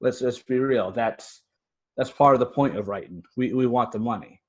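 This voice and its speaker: A man's voice: mid-range voice, normal speed, dull audio. An American man speaking casually and earnestly as an interview guest. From United States. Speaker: podcast guest, fiction writer and podcaster.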